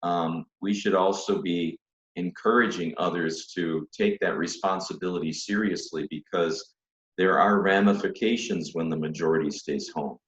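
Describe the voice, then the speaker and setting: A low-voiced man in his fifties, a priest, talks earnestly from his book-lined study on a video call. His webcam audio sounds dull and echoes around the room. He restarts his sentences often as he thinks aloud. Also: steady tone. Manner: earnest, measured, many restarts. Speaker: priest guest. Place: home study with bookshelves.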